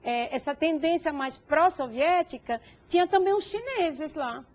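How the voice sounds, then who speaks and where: A woman from Cuba who speaks Portuguese with a Cuban Spanish accent, a guest in an interview, lively with some hesitant restarts. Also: high voice, measured pace, lo-fi sound. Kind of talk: conversational interview.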